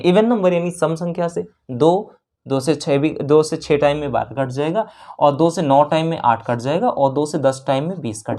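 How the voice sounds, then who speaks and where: A woman's voice: deep voice, mellow. A woman with an Indian accent teaching a maths lesson step by step, repeating phrases and posing questions as she explains.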